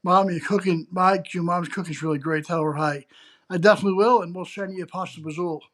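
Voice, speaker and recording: A man's voice: high voice, steady tone, quick pace, a bit bright. A man co-hosting a casual livestream chat, eager and talkative, often addressing his partner by name. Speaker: co-host.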